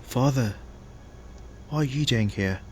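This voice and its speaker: A man's voice: mid-range voice, takes it slowly, darkish tone, close to an everyday voice. A man playing a polite, earnest younger police detective in an audio drama, with a casual, questioning manner. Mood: startled, defensive.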